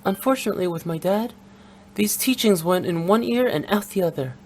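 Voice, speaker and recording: A woman's voice: medium pitch, lively intonation, a little fast, a little mellow, slight background noise, lightly acted. A woman playing a young female funeral director in a video game, playful yet blunt and unsentimental, speaking in a frank, matter-of-fact way. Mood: firm, bitter. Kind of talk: scripted English video game voice acting.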